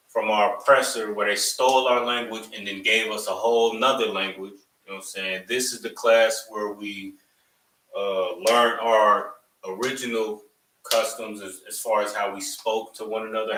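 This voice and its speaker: A man's voice: fairly low pitch, sharp tone. Man instructor, loose and repetitive, turns emphatic, recorded from a distance in a classroom. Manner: loose and rambling, repeats "you know what I'm saying"; preachy, rising to emphatic lines. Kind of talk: opening remarks to a live class. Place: classroom, also streamed online. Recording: echoey room, mic at a distance.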